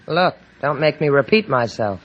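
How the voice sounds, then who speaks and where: Wry American dame, low-slung banter, old radio. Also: female voice, animated, moderate pace, dull audio. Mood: cool, defiant. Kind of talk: old-time radio drama acting. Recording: noisy old-time radio recording.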